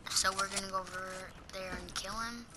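A young woman with a hoarse, fading voice, slowly narrating her gameplay to viewers and clearing her throat often. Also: medium pitch, steady tone. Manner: slow, rambling, talks to viewers. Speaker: gameplay streamer. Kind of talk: casual game commentary. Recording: gameplay audio noise underneath.

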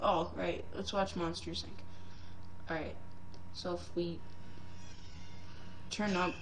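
Slow, hesitant woman over background noise. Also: on the low side, flat delivery. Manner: hesitant, thinking aloud as they go. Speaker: software reviewer. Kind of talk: casual unscripted screen-recording demo. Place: living room at home. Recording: home mic with clear background noise.

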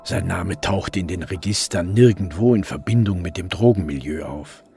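An actor playing a brooding middle-aged police detective, narrating his case in a measured way for a crime radio drama. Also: deep voice, vocal fry. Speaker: narrator and lead investigator. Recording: music bed under voice. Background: music.

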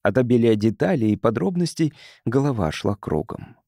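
A man narrates a science fiction audiobook in a soft, mellow voice at a middle pitch. The sound is dry and close, with scripted, measured storytelling delivery. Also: animated, dry recording.

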